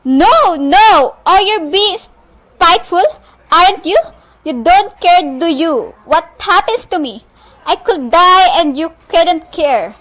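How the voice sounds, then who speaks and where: A young woman playing a frantic, nervous, demanding married woman in an amateur radio play, with halting, stumbling delivery. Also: extremely high pitch, animated, fairly bright tone, slight background noise, full character voice, rough recording. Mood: desperate, demanding. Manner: halting line delivery, stumbling over words.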